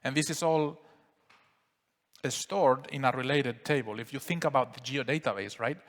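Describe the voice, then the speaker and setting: A man with a Spanish accent giving a relaxed live software demo at a conference, explaining steps with frequent fillers. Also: medium pitch, normal speed. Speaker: software presenter. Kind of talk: live product demo talk. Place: conference hall. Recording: reverberant conference hall.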